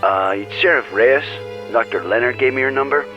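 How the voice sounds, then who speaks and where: An actor playing a gruff, suspicious male sheriff in a video game drama, probing hesitantly over a muffled phone line. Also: mid-range voice, normal speed, soft background music, close to an everyday voice.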